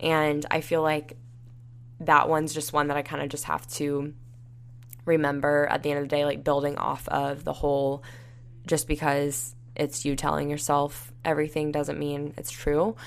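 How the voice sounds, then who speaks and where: A young woman hosting a solo podcast, talking casually with lots of fillers, her voice raspy and tired. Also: low voice, monotone, normal speed. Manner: rambling, many fillers like "like" and "you know". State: tired and dehydrated. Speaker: podcast host.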